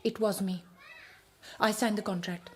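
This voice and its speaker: An actress playing a proud, defiant yet anxious wife in a radio drama, with a formal, emotionally heightened delivery. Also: female voice, mid-range voice, steady tone, measured pace, a little hiss or hum, natural voice. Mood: defensive, bitter.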